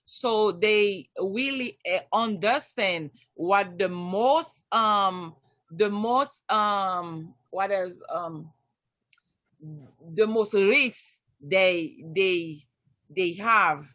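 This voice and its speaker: Woman, Haitian Creole accent, unhurried, halting, video call. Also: medium pitch, fairly bright tone. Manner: halting, many ums and restarts. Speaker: community outreach volunteer. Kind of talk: online group meeting.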